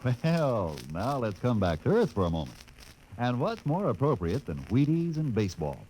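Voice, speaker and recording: Male vintage radio pitchman, swooping intonation, mellow tone, faint noise on an old recording. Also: sing-song, moderate pace, rough recording. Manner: chatty, polished sales patter. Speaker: radio announcer. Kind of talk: 1950s radio advertisement announcing.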